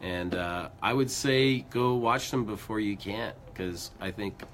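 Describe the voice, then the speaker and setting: A man co-hosting a music show in casual, rambling chat, a bit hoarse from losing his voice. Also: fairly low pitch, unhurried, a bit bright, a little hiss or hum. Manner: rambling, upbeat, lots of fillers. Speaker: co-host of a music news show.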